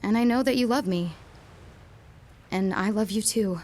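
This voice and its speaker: A woman's voice: mid-range voice, moderate pace, slight background noise, natural voice. A woman playing a lovesick teenage girl. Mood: tender. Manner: intimate monologue, swings from quiet to pleading. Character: teenage girl, emotional, deeply in love. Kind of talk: college radio drama.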